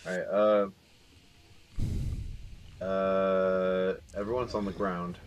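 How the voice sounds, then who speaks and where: Dry low-voiced dude calling out directions to his buddy, blunt and deadpan. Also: drawn-out words, dull audio, faint music behind. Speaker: co-player guiding over voice chat. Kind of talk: casual gaming banter, giving directions.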